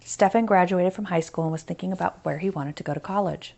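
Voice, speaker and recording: A woman with an American accent reads a children's story aloud as a teacher. Her voice sits slightly low, and she moves between narration and explanatory asides that stress key points. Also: quick pace, vocal fry, darkish tone, slight background noise.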